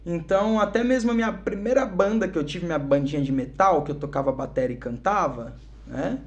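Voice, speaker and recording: A young man from Curitiba with a São Paulo accent, a podcast guest telling his faith story in a relaxed, chatty way. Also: a little high, fairly quick, muffled recording. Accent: São Paulo Portuguese. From Curitiba, Brazil. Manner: relaxed, chatty, fillers like "cara" and "né". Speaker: podcast guest, worship musician. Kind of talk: casual testimony interview.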